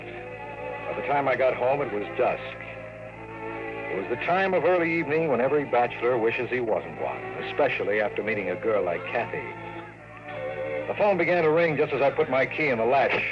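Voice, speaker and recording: An actor playing a wisecracking, lonely private detective who also narrates, in a 1950s radio drama style. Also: male voice, mid-range voice, lively intonation, normal speed, muffled recording, close to an everyday voice. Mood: wry, narrating. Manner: sardonic, quipping. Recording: vintage 1950s radio recording with music.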